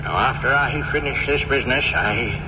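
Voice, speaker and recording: An actor playing a cantankerous old ghost in a radio mystery, speaking formally and deliberately with a pompous, commanding manner. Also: male voice, medium pitch, close to an everyday voice. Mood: commanding, satisfied. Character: cantankerous old male ghost, shrewd and commanding great-grandfather. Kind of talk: radio mystery drama acting. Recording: dull lo-fi old radio, noisy vehicle.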